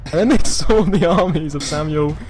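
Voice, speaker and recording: A man with a slightly high voice reads amateur fiction aloud over music. He delivers it as a mock-serious dramatic reading, stretching certain phrases for effect and tripping over others. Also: normal speed, a little mellow. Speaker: reader of amateur fan fiction. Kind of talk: comic dramatic reading of a written story.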